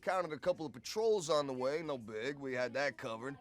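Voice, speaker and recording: An actor playing a cocky soldier who tells war stories casually in clipped, wry military slang for a video game. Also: male voice, mid-range voice, animated, a little fast, natural voice. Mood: cocky, animated. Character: cocky male soldier swapping war stories with his squadmate. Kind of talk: casual in-character storytelling.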